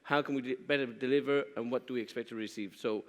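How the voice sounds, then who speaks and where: Guy moderating a conference panel, medium pitch, big echoey hall. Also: fairly even intonation, fast. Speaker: panel chair. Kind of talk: moderating a live panel discussion. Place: conference hall, before an audience. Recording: echoey hall with long reverb.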